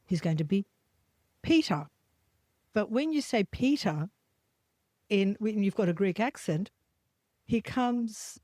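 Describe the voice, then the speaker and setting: A woman's voice: a little high, no room sound. Woman, Australian accent, middle-aged, chatty storyteller, dry close sound. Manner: animated storyteller, acts out quoted voices. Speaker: podcast host. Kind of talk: casual storytelling chat.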